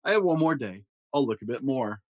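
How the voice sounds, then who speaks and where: Casting: kind-hearted young prince for a storybook, earnest, humble, soft-spoken, mid-pitched, very dry sound male reader acting it out. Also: lively intonation, fairly quick, no echo, muffled recording, lightly acted. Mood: excited, earnest. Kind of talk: storybook character reading.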